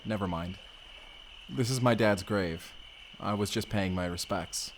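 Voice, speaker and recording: A man's voice: on the low side, measured pace, slight background noise. A man playing a young Spanish vampire slayer, a teasing and tender protector speaking intimately in an audio roleplay. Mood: weary, evasive. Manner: speaks closely to one listener, teasing and soothing.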